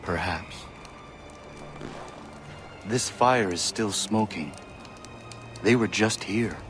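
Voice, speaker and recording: A low, stoic young warrior. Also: male voice, very slow, a bit bright, faint music behind. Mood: calm, serious. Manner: formal, restrained, few contractions. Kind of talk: video game cutscene acting.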